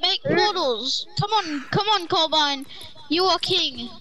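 A woman's voice: very high-pitched, expressive, slow pace, pushed and bright. A woman improvising a roleplay as a player in online game chat.

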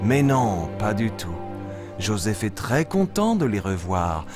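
An eager young boy lion tells stories to small children with lively, swooping expression. The telling is simple and cheerful, as in a puppet show, with music behind the voice. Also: fairly low pitch, takes it slowly. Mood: storytelling. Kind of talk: animated storytelling for small children.

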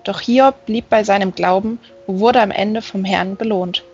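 A woman's voice: medium pitch, steady tone, moderate pace, faint music behind, close to an everyday voice. Woman reading as earnest fallen angel man, muffled. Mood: earnest, explaining. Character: gentle, learned male fallen angel telling scripture.

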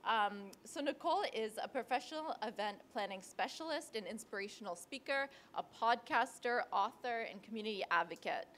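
A woman's voice: on the high side, expressive, moderate pace, hardly any pauses, fairly bright tone, a little hiss or hum. A young woman moderating a panel, reading a speaker's introduction with small restarts, on a handheld mic. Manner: reads a list with small restarts. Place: panel stage in a large indoor room.

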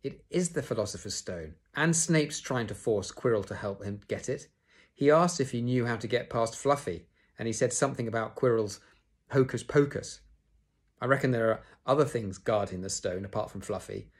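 A man's voice: mid-range voice, normal speed, close to an everyday voice. A man voicing an earnest schoolboy who talks urgently and breathlessly.

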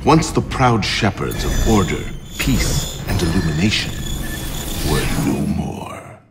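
A man's voice: low voice, very animated, slow pace, exaggerated voice. A man playing a grave, ominous storyteller, giving solemn, portentous cinematic narration for a game. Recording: loud music under voice.

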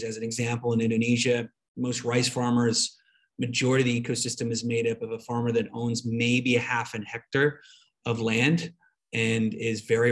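A man presenting a webinar over a video call, explaining slides in a conversational, loosely phrased way. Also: medium pitch.

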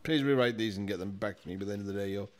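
A man's voice: on the low side, very fast, slight background noise, natural voice. A man playing a portly magazine boss in an audio play, officious, blunt and fussy. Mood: exasperated.

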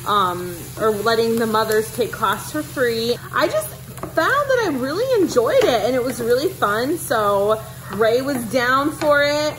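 A woman's voice: sits high, background noise. A woman in her thirties talking casually to her phone camera while cooking in her home kitchen, upbeat and chatty. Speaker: family vlogger. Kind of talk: casual cook-with-me vlog. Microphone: handheld phone camera at arm's length.

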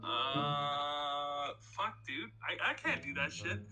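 A man's voice: on the high side, animated, slow pace, over music. A young man on a video chat, easygoing and swearing casually.

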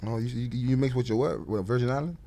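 A young man from hosting a casual podcast interview, loud and teasing, often repeating his words. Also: medium pitch, quick pace, creaky. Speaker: podcast host. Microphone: desk mic on boom arm.

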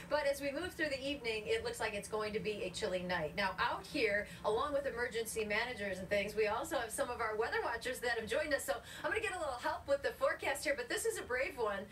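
A woman's voice: high-pitched, fairly bright tone. A middle-aged American woman presenting a live TV weather report in a chatty, conversational way. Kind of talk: live weather forecast and interview. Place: outdoors in a store parking lot. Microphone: handheld reporter mic, heard off a filmed TV screen. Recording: distant TV speaker re-recorded, noisy.

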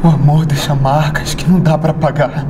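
An actor playing a heartbroken man clinging to a lost love, in a loud, pleading theatrical monologue. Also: a little high, little pitch movement, a little fast, close to an everyday voice. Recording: noisy ambient background.

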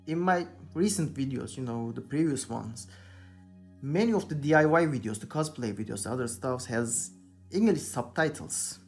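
A man's voice: medium pitch, darkish tone. A young Turkish man with a Turkish accent, chatting casually in hesitant, searching English while he paints a figure at his workbench. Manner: hesitant, searching for English words, many "you know". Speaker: hobby painter, channel host. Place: indoor workbench.

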